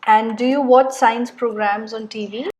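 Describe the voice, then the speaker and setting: A woman examiner reading scripted test questions in a measured, formal way. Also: on the high side, fairly even intonation, a little mellow.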